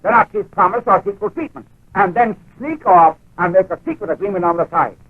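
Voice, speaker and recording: High-pitched, husky, indignant businessman. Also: animated, brisk. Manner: blunt, emphatic. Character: indignant, hot-tempered American businessman. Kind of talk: 1940s radio acting. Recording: tinny, narrow-band 1940s radio recording.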